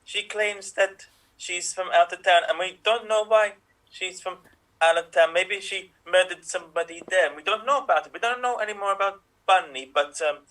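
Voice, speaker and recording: A man's voice: high-pitched, moderate pace, sharp tone. A man voicing a shady male doctor who rambles as he speculates. He sounds a little far from the mic. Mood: suspicious. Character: suspicious male doctor with a muddled accent.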